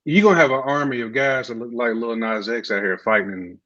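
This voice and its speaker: A man's voice: mid-range voice, a little fast, a bit bright. An American man on a podcast panel, speaking colloquially and insistently, with slightly dull audio. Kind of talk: casual panel discussion. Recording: slightly dull, muffled sound.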